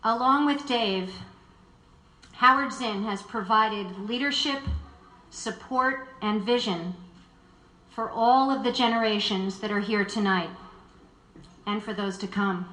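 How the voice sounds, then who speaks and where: A woman's voice: mid-range voice, slow, long pauses, sharp tone. Woman introducing a speaker, roomy sound. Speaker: event host introducing a speaker. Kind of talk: formal prepared introduction speech. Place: indoor live event before an audience. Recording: echoey live room.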